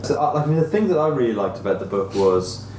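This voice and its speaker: A man's voice: medium pitch, expressive, fast, darkish tone. A man taking part in an informal book discussion, thoughtfully putting comments and questions to an author in tentative phrasing. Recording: echoey room, distant mic, faint noise.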